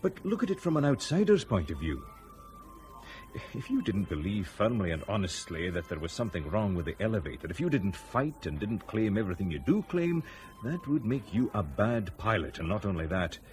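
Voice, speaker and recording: A senior pilot in a radio drama, low-pitched and very varied in intonation. He reasons deliberately and sceptically, with a sardonic edge. The recording has a faint hiss. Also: a little mellow. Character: senior male fleet pilot questioning a crashed colleague, measured, sceptical.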